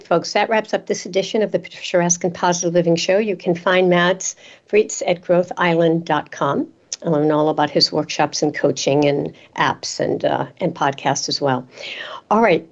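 A woman's voice: fairly low pitch, moderate pace. A woman hosting a radio interview show, asking questions and murmuring along as her guest talks. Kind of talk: interview host chat. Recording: dull lo-fi sound.

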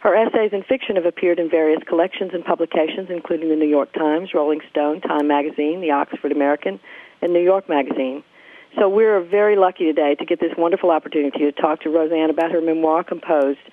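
A woman's voice: sits low, fairly even intonation, quick pace, no room sound. A woman interviews in an earnest, effusive manner, choosing reflective and literary phrases. The sound is narrow and muffled like a phone line, yet very dry, with no room around her. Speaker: talk show host and interviewer. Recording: muffled phone-grade radio audio.

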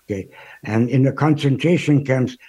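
An older man in his eighties with a Hungarian accent. His voice is husky and mellow, and he talks haltingly, restarting his words as he answers an interviewer. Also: medium pitch. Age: 80s. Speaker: guest, Holocaust survivor. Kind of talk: interview answers, recounting history.

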